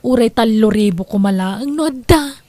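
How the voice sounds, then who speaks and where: A woman's voice: a little high, animated, moderate pace, warm tone, no echo, natural voice. A woman acting as a pushy, wheedling wife who keeps borrowing money, in an audio drama. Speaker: lead character Amy.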